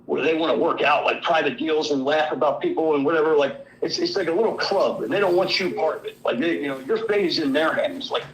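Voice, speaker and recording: A man calling in to a talk show, hoarse from illness, rambling through an indignant account of his run-in with the law. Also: a little high, fast, few pauses, a little hiss or hum, low-quality recording. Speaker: caller. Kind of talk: call-in show chat.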